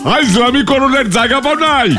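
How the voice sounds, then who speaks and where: A man voicing a gruff, short-tempered village businessman with an East Bengali accent in a comic cartoon. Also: very high voice, normal speed. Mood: threatening. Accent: East Bengali dialect. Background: music.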